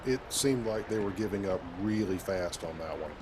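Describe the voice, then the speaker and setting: A man roleplaying a steady, dry-humored spaceship captain over video game play. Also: fairly low pitch, moderate pace. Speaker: gamer voicing his player character. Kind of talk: in-character roleplay over gameplay. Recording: clearly noisy, game ambience behind.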